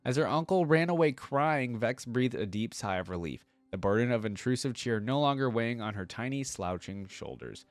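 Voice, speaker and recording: A man's voice: mid-range voice, very animated, moderate pace, hardly any pauses, no room sound. A man hosting a reaction video, reading a story aloud and adding casual commentary.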